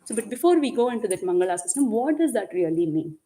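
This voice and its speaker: A woman's voice: medium pitch, sing-song, fast talker, mellow. Tamil-speaking woman giving a religious class in english, asks questions then answers them, drops in tamil and sanskrit words. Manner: explanatory, asks and answers her own questions; mixes in Tamil and Sanskrit terms. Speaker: religious teacher. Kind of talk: devotional lecture to a group.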